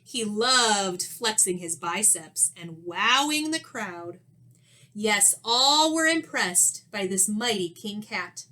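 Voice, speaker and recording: A woman teacher reading a children's story aloud expressively, with dramatic pauses and questions to young listeners. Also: medium pitch, expressive, sharp tone.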